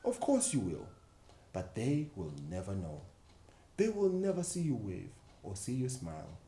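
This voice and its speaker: Regal storybook queen read by a man, low-ish, smooth-edged and mellow, cool and commanding. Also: wildly swooping pitch, a little slow, heavily acted. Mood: cold, grand. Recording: echoey room with faint background noise.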